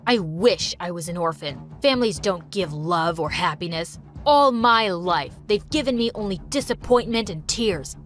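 A woman doing a low, bitter teenage boy. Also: normal speed, hardly any pauses, soft background music, lightly acted. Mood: furious. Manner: bitter, scornful, low-voiced. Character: sullen resentful teenage boy.

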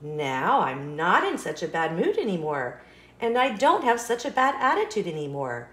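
A woman reading a children's storybook aloud with expressive narration, recorded with roomy echo. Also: lowish voice, normal speed.

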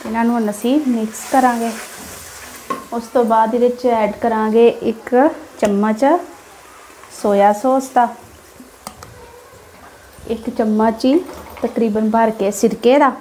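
Woman, Punjabi accent, fairly high pitch, home cook, step-by-step recipe narration, direct instruction, faint frying noise behind. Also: fairly even intonation, takes it slowly, pauses often, warm tone, low-quality recording. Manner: instructional, addresses viewers directly. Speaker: home cook, cooking channel host. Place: home kitchen, beside the stove. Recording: background noise of frying and stirring. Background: sizzling pan, stirring sounds.

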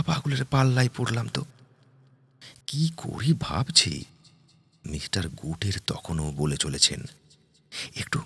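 A man narrating a first-person ghost story in a dramatic, suspenseful way, with deliberate pauses and repeated words. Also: deep voice, creaky, sharp tone, husky. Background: music.

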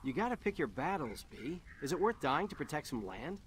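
Higher-voiced, wry young game hero. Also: male voice, very animated, a little fast, slight background noise. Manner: casual, colloquial, wry. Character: flippant young human soldier hero in an alien body. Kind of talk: video game cutscene acting.

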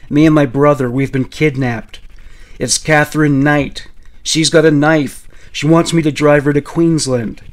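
A man's voice: mid-range voice, fairly even intonation, normal speed, lightly acted. A frightened sixteen-year-old girl in a narrated story, played by a man. She is obliging and protective. She talks in an anxious, pleading way. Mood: desperate pleading.

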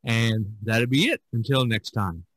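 A man hosting a podcast in casual conversation, talking in a folksy, rambling, halting way. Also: medium pitch, fairly bright tone, poor audio. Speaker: podcast host.

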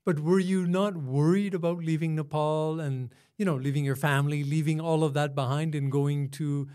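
A man hosting an interview podcast, reading a scripted intro and then asking measured questions. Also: fairly high pitch, flat delivery, darkish tone, clear, resonant tone. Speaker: podcast host.

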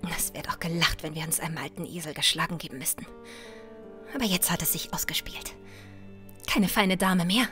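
An actress playing a determined, sharp-tongued young adventuress in an audio drama, often muttering to herself. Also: female voice, on the low side, moderate pace, close to an everyday voice. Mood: grumbling, defiant. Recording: music under the voice.

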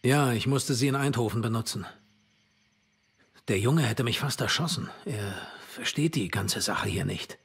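Ein Schauspieler als belasteter, verschlossener Sergeant in einer deutschen Videospiel-Synchronisation, ernst und abwehrend. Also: male voice, mid-range voice, measured pace, vocal fry, husky, full character voice. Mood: weary. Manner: serious, defensive. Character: guarded, burdened American squad sergeant. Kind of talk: German video game dub acting.

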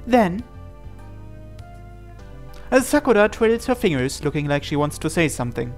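A man's voice: on the high side, big pitch swings, normal speed, soft background music. A man reading narration aloud from a visual novel as he plays through it.

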